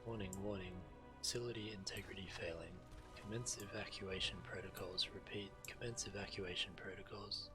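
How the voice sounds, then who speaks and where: An actor playing a cold, menacing security construct in a video game, giving clipped mechanical commands. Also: male voice, sits low, fairly even intonation, fairly quick, music in the background, lightly acted. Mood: urgent warning.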